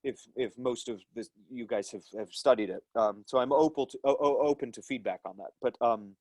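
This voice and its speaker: A man's voice: mid-range voice, brisk, darkish tone, dry recording. A man in his thirties teaching an online acting class from home over a video call, speaking thoughtfully with many restarts and fillers. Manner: gestures with his hands while explaining. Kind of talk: live online class lecture and Q&A. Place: small room at home. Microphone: earphone headset mic on a video call.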